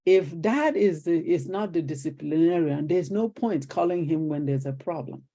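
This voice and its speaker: Older Nigerian woman, guest storyteller, rough-edged mellow tone. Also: low-pitched, moderate pace. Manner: animated storyteller acting out quoted exchanges. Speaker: guest, retired teacher. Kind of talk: conversational interview storytelling.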